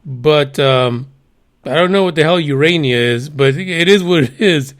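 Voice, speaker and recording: A man's voice: fairly high pitch, normal speed. A man hosting a casual news podcast, cursing freely, laughing at himself and drifting into rambling asides. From United States. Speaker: podcast host.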